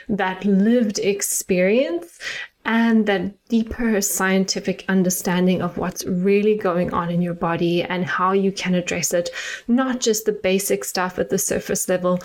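A middle-aged woman from South Africa with a South African accent, hosting her own podcast in a measured, earnest, explanatory way. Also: mid-range voice. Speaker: podcast host and nutritional therapist. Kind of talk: scripted solo podcast monologue.

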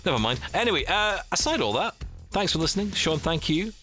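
A man's voice: high-pitched, sing-song, brisk, sharp tone, soft background music. A Scottish man in his forties hosting a podcast, chatting casually and rambling with jokes. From Scotland. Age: 40s. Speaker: podcast host. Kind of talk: casual chat.

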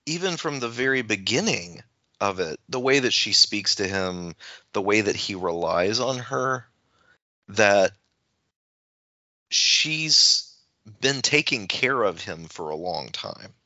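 A man co-hosting a podcast in casual, reflective discussion, speaking haltingly and often correcting himself, on slightly dull audio. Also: fairly low pitch, lively intonation, bright, forceful tone, dry recording. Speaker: podcast co-host; teacher.

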